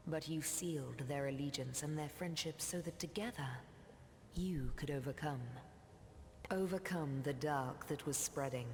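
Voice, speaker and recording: Ancient, slow, cavernous-sounding mystic seer. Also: female voice, low voice, darkish tone. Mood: reverent. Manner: solemn, cryptic, archaic phrasing. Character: ancient mystical female seer who weaves threads of time. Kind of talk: scripted fantasy game dialogue. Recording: long cavernous reverb.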